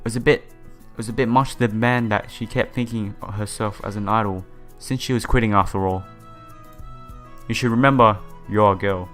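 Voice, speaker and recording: Low-voiced man doing a casual playthrough. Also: steady tone, normal speed. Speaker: gaming video host. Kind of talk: casual let's-play, reading game text aloud with asides. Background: soft game music.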